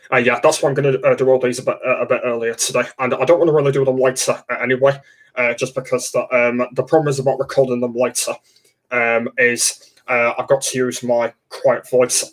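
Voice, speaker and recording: A young man talks to his webcam from a dark room at home. His voice stays flat and even in pitch, and his speech halts on constant fillers and restarts. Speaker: livestream host. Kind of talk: casual livestream chat.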